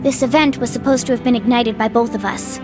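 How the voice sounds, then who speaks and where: A woman's voice: on the high side, steady tone, fast talker, natural voice. An actress playing a timid, flustered young woman in an anime game, speaking with hesitant stammers. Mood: sheepish. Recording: music behind the voice.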